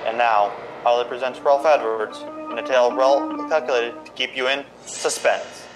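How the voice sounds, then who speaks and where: A man's voice: medium pitch, fairly even intonation, normal speed, natural voice, rough recording. A man as a formal radio announcer over music. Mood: solemn. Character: formal old-time radio announcer introducing suspense play. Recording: loud music under the voice.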